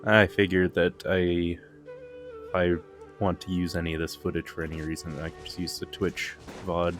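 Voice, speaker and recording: A very deep-voiced man chatting casually on a game stream. He comments loosely as he plays, with music clearly audible behind him. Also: flat delivery, moderate pace, a bit bright.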